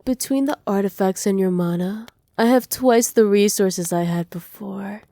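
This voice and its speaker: A woman voicing a doting, possessive evil witch in an intimate ASMR roleplay, speaking softly and teasingly, often slipping into breathy whispers. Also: mid-range voice, normal speed, no echo, close to an everyday voice. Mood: smug, satisfied. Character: doting, possessive evil witch pampering her captive pet. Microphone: close microphone, dry.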